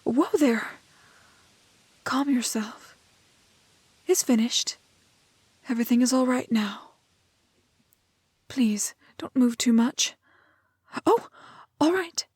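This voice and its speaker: A woman playing a prim, plucky, kind-hearted young woman in a fairy-tale roleplay, with soft voice and proper, old-fashioned phrasing. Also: on the high side, slow, long pauses, slightly rough, no room sound. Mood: gentle, careful. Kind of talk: romantic fantasy roleplay audio acting.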